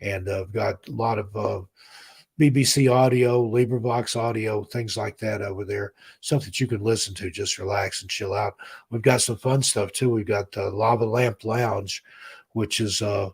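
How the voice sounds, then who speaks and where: A man hosting a livestream in a relaxed, rambling chat with his viewers, reading their comments aloud, his voice hoarse as he loses it. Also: on the low side, normal speed. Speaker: livestream host.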